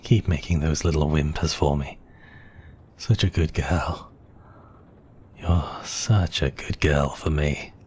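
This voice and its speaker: A man's voice: very deep voice, steady tone, takes it slowly, long pauses, a little mellow, slight background noise. A man playing a seductive, obsessive vampire count in an intimate ASMR roleplay, murmuring and often slipping into whispers. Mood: hungry, tender. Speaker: audio roleplay voice actor.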